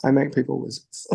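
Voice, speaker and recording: A young man talks as a podcast guest in a loose, playful way, full of likes and you-knows. His voice sits a little high and has a gravelly, crackly edge. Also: mellow. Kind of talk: casual conversation.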